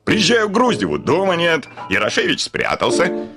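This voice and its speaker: An actor playing an irascible, blustering landowner in a classic comedy radio play, exclaiming and grumbling with theatrical exasperation. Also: male voice, fairly high pitch, huge pitch swings, moderate pace, music in the background. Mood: bitter, exasperated. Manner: theatrical grumbling, exclaiming, swinging from muttering to bellowing.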